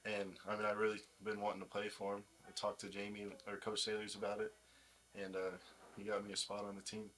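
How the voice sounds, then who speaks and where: Young male ballplayer as interview guest, lowish pitch, very even delivery, casual. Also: fairly quick, poor audio. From United States. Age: young adult.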